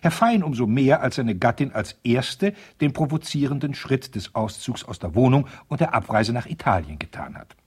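Stuffy self-important male lawyer in old radio play, husky, very animated melody, super formal wording. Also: mid-range voice, normal speed, few pauses. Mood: formal, insistent. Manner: pompous, stilted formal diction. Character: pompous divorce lawyer, vain and insistent, writing formal letters. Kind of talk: 1970s radio play, letter read aloud in character.

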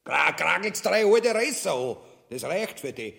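A coarse, greedy little tyrant of a king with an Austrian accent. He blusters through a farcical radio play, his pitch leaping about from grumble to yelp. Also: big pitch swings, a little slow, creaky, sharp tone, heavily acted. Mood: indignant, grudging. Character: crude, greedy, miserly man, newly made king.

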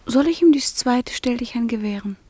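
An actress playing a proud, jealous young noblewoman in a radio drama, murmuring hushed, breathy asides to herself. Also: on the high side, lively intonation, moderate pace. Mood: hurt, suspicious. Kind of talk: intimate radio drama monologue.

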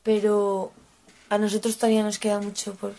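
A teenage girl from gives her views as a young activist. Her voice is mid-pitched and mellow, and her delivery stays quite level and informal. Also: completely flat delivery, a little fast, poor audio. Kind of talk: informal spoken opinion piece.

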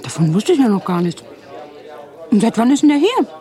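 An actress playing an earnest, expressive young woman in a radio drama. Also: fairly high pitch, unhurried. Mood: surprised.

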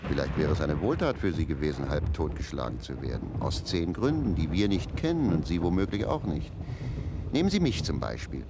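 Very low mellow servant, chatty and sly, lively melody, radio drama. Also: male voice, fairly quick, noisy background, heavily acted. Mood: sly, musing. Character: talkative, philosophizing manservant, calm and sly. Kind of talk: radio play acting.

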